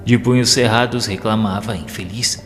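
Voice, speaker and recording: Male narrator, lowish pitch, solemn literary delivery, audio drama, long reverb, faint music. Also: fairly even intonation, normal speed.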